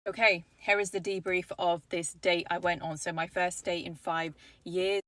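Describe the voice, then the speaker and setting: A woman's voice: lowish voice, level tone, moderate pace, fairly bright tone, no echo. A woman, chattily telling the story of a date. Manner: chatty, many "like" fillers. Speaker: dating storyteller. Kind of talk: casual storytelling vlog.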